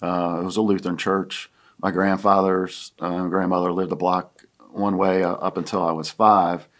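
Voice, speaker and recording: A man in his sixties, from Pennsylvania, talking as a guest in a halting, reflective way with many fillers. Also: sits low, little pitch movement, a bit bright. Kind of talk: casual conversation.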